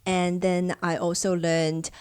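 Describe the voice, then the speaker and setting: A young woman vlogger from China, speaking English as her second language, talks through her own experiences in a relaxed storytelling way. Her voice sits a little low, and the sound is close and dry with no room around it. She perks up and turns lively whenever she replays old conversations. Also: slow, clean tone, no room sound. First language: Chinese. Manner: animated when acting out past conversations. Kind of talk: casual storytelling to camera.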